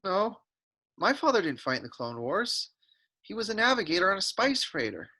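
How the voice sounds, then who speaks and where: A woman acts out an earnest young farm boy in a sci-fi table read. The voice is forceful and husky, with lively swings in pitch. The lad comes across as eager and open. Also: normal speed. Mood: wistful. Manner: earnest, expressive. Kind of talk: script table read acting.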